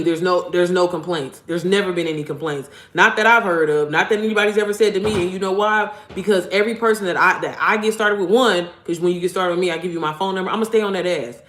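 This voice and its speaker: Young woman, deep voice, rapid, blunt and profane as an online coach; echoey room. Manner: blunt, profane, slangy, addresses viewers directly. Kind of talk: casual motivational vlog talk.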